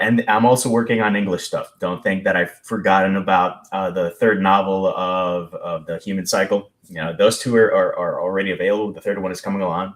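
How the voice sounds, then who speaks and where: A man in his thirties from Rico, a Spanish speaker, rambling casually about his writing plans on a video call with a headset. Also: sits low. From Puerto Rico. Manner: rambling, self-deprecating, animated with hand gestures. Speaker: author guest. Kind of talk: casual panel chat. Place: home room.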